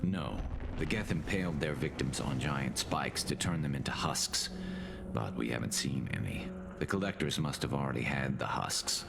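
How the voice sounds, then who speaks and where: An actor playing a blunt male squad soldier in a video game, with a gravelly voice and a matter-of-fact manner. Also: moderate pace, noisy background. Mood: flat. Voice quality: gravelly, very deep.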